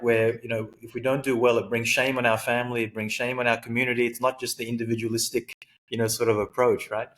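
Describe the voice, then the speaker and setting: A man's voice: medium pitch, poor audio. A man from Sri Lanka, raised in Australia, telling his life story as a guest in an interview, thoughtful and full of fillers. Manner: thoughtful, frequent fillers and self-corrections. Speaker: guest, high-performance coach.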